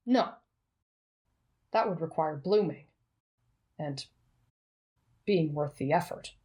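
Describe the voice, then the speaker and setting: A woman voicing an excitable, lovestruck and insecure teenage swordsman boy in an anime roleplay, with an eager, stammering manner. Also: low voice, slow, pitched below the performer's own voice. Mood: downcast. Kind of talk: anime roleplay ASMR acting.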